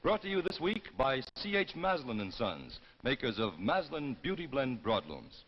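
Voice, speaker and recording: An American man announcing a 1950s anthology broadcast and reading the sponsor's pitch in a formal, polished, salesmanlike way. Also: big pitch swings, moderate pace, slight background noise. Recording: muffled 1950s broadcast with music behind.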